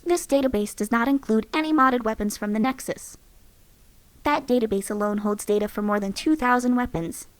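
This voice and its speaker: A female combat companion for a game, a walking weapons platform, lists figures in a precise, matter-of-fact manner, as a woman voices her. The voice sits a little high. The recording is very dry and close, with no room sound at all. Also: brisk, no echo, natural voice. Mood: factual.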